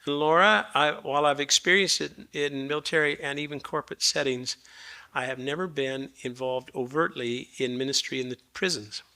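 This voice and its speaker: Man, 60s, raspy, bright forceful tone, gracious, formal panel moderator. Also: medium pitch, moderate pace. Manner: gracious, formal, a little rambling.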